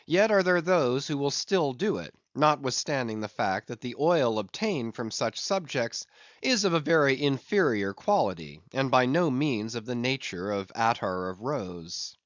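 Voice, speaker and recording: Expressive male audiobook narrator, dry sound. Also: medium pitch, measured pace, no echo, lo-fi sound. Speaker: audiobook reader.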